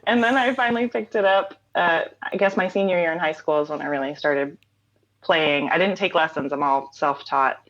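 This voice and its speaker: A woman in her late thirties talks through her creative habits in a deep, low voice. She muses aloud with many "um"s and "like"s and laughs easily. The sound is a bit dull and lo-fi, like a remote call. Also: normal speed, fairly bright tone. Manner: thoughtful, frequent "um" and "like", laughs easily. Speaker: guest, singer-songwriter. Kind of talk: reflective conversational interview.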